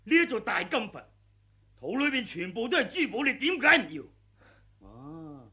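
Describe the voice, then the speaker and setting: An actor playing a scheming, greedy old martial arts master in a Hong Kong Cantonese radio drama, with a husky, mocking delivery. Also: male voice, very high-pitched, sing-song, moderate pace, sharp tone, exaggerated voice. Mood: sneering. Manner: sneering, mocking. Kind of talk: 1980s radio drama acting.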